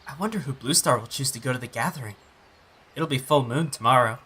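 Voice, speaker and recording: A man's voice: medium pitch, quick pace, sharp tone, a little hiss or hum, lightly acted. A man voicing an earnest young tomcat apprentice who used to be a house cat. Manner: earnest, eager. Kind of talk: fan audiobook voice acting.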